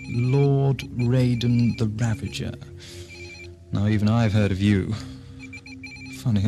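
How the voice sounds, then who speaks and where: An actor playing a whimsical, inquisitive time traveller in an audio drama, musing wryly to himself with music behind him. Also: male voice, lowish voice, vocal fry. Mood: wary, amused. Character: whimsical, curious time-travelling male investigator, wry and talkative. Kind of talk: audio drama acting, soliloquy.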